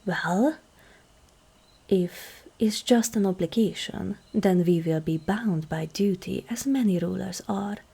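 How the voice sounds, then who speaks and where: A woman playing a regal, seductive ancient demon queen in an ASMR roleplay, measured and commanding, with a husky, breathy, creaky voice that often softens toward a whisper. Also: mid-range voice, full character voice. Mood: solemn, persuasive. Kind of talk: ASMR roleplay, scripted monologue to the listener.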